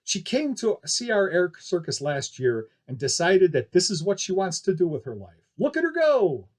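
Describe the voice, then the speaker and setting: A showy flying-circus barker played by a male narrator works the crowd in an audiobook tale. His voice is fairly high for a man and husky, with grand, folksy, declamatory patter. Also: big pitch swings, normal speed. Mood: folksy, excited. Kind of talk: ballyhoo announcing in an audiobook story.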